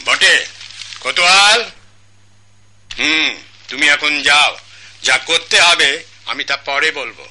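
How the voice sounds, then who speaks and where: A dignified king and father in a radio play, Bangladeshi accent. His fairly high voice is very bright and moves slowly, with regal deliberation. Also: full character voice. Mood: measured, regal. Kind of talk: radio drama acting.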